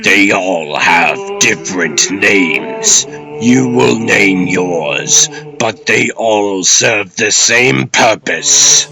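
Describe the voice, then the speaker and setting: A man's voice: on the low side, animated, extremely slow, music in the background, exaggerated voice. A man playing an old, stern warrior god and lawman in a comic dub, speaking in grave, archaic, commanding oratory. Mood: solemn, commanding. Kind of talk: theatrical comic dub acting.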